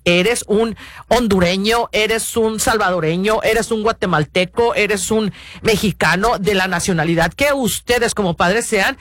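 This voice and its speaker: A man's voice: high voice, a bit bright, dry recording, studio quality. A man hosting a radio show, talking earnestly and ramblingly to parents, with many restarts and fillers. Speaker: radio host. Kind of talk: casual radio talk to parents.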